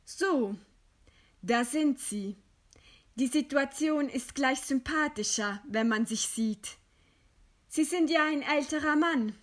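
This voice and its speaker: Woman as a composed, high-voiced lady writer. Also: takes it slowly, pauses often. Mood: composed, courteous. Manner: calm, formally courteous. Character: composed, resigned woman writer facing a night intruder. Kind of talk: read radio play dialogue.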